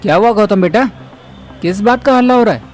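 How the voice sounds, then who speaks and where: A man's voice: high-pitched, fairly quick, a little mellow, soft background music, voice pushed up, close to an everyday voice. A man playing a stern, blustering middle-aged uncle who demands answers in an audio drama. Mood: indignant. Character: stern, indignant older uncle of the family. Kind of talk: Hindi audio drama acting.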